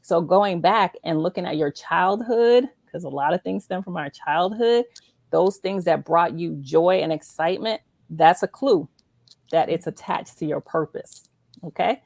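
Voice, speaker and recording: Female host, low creaky register, emphatic and encouraging, faith-based interview delivery, dry sound. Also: unhurried, a little mellow, no echo. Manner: encouraging, emphatic, checks in with "right?" and "okay?". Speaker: show host interviewing an author.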